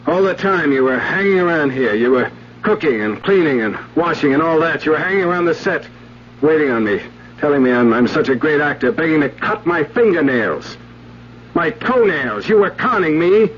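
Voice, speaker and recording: Dull vintage radio, male actor as indignant man, flustered, emphatic, stammering, very animated pitch, faint hiss. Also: moderate pace, close to an everyday voice. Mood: angry. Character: indignant American actor rebuffing an infatuated woman. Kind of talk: 1970s radio drama acting. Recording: muffled, faintly noisy vintage radio broadcast.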